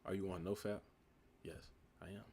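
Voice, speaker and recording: A young man from the United States with a calm, laid-back voice, reading live chat questions aloud and answering them in a relaxed, reflective way. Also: deep voice, moderate pace, creaky, very noisy. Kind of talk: casual live stream chat.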